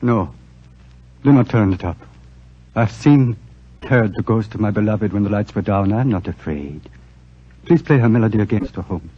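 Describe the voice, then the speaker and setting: An actor playing an emotional foreign prince in a 1940s radio drama, on a dull old recording. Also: male voice, lowish voice, lively intonation, moderate pace, pauses often, slightly rough. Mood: earnest. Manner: earnest, dramatic. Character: foreign prince, emotional, romantic young man.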